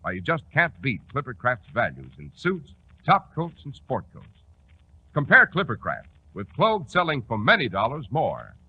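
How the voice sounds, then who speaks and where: A man's voice: mid-range voice, wildly swooping pitch, moderate pace, slightly rough. A man with an American accent announcing on 1940s radio, with a polished, emphatic sales delivery. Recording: muffled 1940s radio recording.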